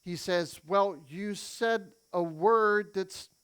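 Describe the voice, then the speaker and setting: A man who is a native English speaker preaches a sermon as a missionary. He tells the story in short phrases, leaving pauses for an interpreter. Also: sits high, fairly bright tone, smooth.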